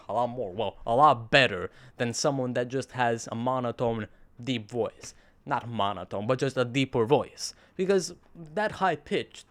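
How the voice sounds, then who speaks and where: A teenage boy with a deep radio voice, talking earnestly to camera in a rambling vlog monologue with sarcastic asides. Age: teens. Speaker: vlogger.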